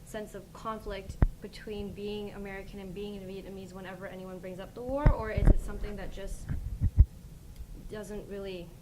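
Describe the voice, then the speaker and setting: A woman interviewer who asks long, measured questions in a flat, even tone and pauses on "um" between her phrases. Her pitch sits in a middling range. Clear background noise runs under her voice. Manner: measured, careful questions with "um" fillers. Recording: noisy recording with ambient hiss.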